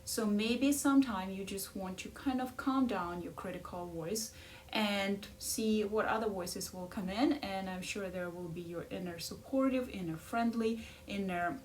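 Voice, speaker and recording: A woman's voice: medium pitch, a little slow, far from the mic, slight background noise. A middle-aged woman with a Ukrainian accent, discussing a book and coaching listeners in an encouraging way, often checking in with "right?". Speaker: life coach and podcast host.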